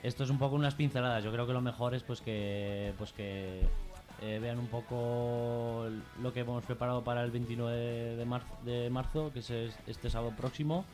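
A man's voice: mid-range voice, steady tone, normal speed, darkish tone, faint music behind. A man with a Peninsular Spanish accent speaking informally as an activist guest in a radio interview, hesitating, rambling and repeating himself.

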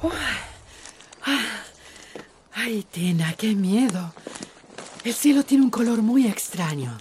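A woman's voice: mid-range voice, animated, slow pace, a little mellow, slight background noise. An actress playing a skeptical, anxious village woman in a radio drama, questioning, with a Central American accent. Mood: breathless, anxious. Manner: questioning, doubtful.

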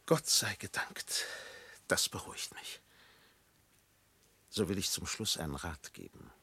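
Radio drama pastor, old, grave and probing: gravelly low voice, formal, measured delivery. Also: male voice, sing-song, sharp tone, whispered, full character voice. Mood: solemn advice. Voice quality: gravelly, husky. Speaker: actor. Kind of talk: German radio play acting.

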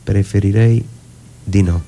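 A man voicing a meek copyist in a radio story, soft and gentle, speaking slowly with calm firmness. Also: fairly low pitch, a little hiss or hum, natural voice. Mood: calm refusal. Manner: slow, calm, firm. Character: meek, immovable male copyist, softly but firmly refusing. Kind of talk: literary radio reading with music.

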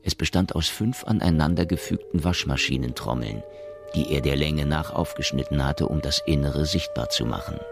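Radio play narrator: male, bottomless bass with heavy creak, sober measured storytelling, music bed. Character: sober male storyteller of a science fiction tale.

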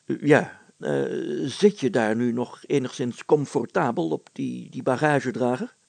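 A man's voice: medium pitch, measured pace, gravelly, a little mellow, no echo. A man voicing an anxious, blustering village mayor in a storybook, stammering and hesitant, easily thrown into breathless panic. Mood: hesitant, worried.

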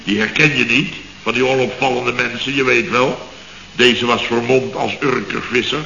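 A man's voice: mid-range voice, fairly even intonation, moderate pace, bright, forceful tone. An actor playing a blustering, exasperated boss of a building firm, who rants and mockingly mimics his staff in a 1960s radio comedy. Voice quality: sarcastic, ranting, mimics others mockingly. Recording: roomy dull old radio recording with faint noise.

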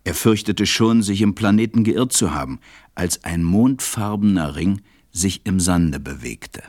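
A man playing a reflective storyteller who confides in children, narrating a radio play in a measured way. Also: low voice, darkish tone, no room sound, clean studio sound. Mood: confiding, reflective.

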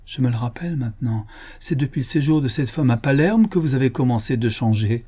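A man's voice: mid-range voice, lively intonation, brisk, mellow, husky. A man reading the part of an anguished young woman who pleads and begs in a classic novel audiobook. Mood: distraught. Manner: desperate, imploring.

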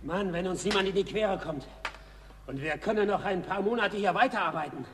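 An actor playing an eager, animated scavenger digging for metal in a radio drama. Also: male voice, high-pitched, brisk, very noisy, close to an everyday voice. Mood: gloating.

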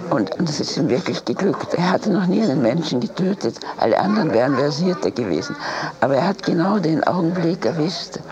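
Woman, very low register, unscripted halting storyteller, busy crowd noise in the background. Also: expressive.

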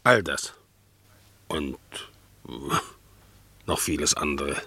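An actor playing an excitable, amorous country dog in a dramatic, rhapsodic radio play style. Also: male voice, a bass voice, takes it slowly, husky, full character voice. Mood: weary, wistful. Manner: dramatic, rhapsodic storytelling, courtly and insistent. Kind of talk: German radio play acting.

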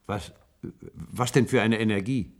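A man's voice: fairly low pitch, a little slow, vocal fry. An actor playing an uneasy, probing new patient in a German science-fiction radio play, hesitant and repeating his words. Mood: inquisitive.

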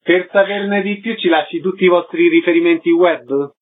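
Man, interviewer, slightly high pitch, announcing style, phone-like narrow sound. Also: moderate pace. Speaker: radio interviewer and host. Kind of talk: radio arts interview, announcing and questioning.

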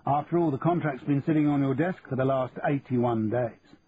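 A man's voice: medium pitch, normal speed, voice pushed up, natural voice. A man with a Cockney accent voicing a shrewd, confident shopkeeper who bargains in a dry, teasing manner. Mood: wry. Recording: tinny phone-like sound with faint hiss.

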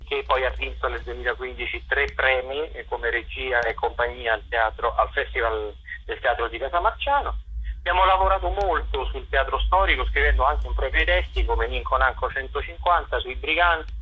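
A man giving a radio interview as a theatre director and actor, articulate and discursive, pausing to search for words. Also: mid-range voice, husky, phone-quality sound. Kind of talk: radio interview, explaining and promoting work.

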